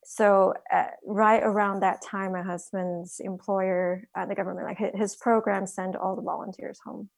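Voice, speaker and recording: A woman from Taiwan answering interview questions hesitantly, with many pauses and self-corrections. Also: mid-range voice, flat delivery, vocal fry, no echo, rough recording. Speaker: guest, financial planner.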